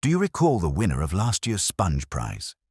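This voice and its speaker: A mild police inspector from a mystery story, voiced by a male narrator. He speaks extremely low, soft and understated, with unhurried deadpan irony. The sound is dry. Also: breathy edge, no echo, heavily acted.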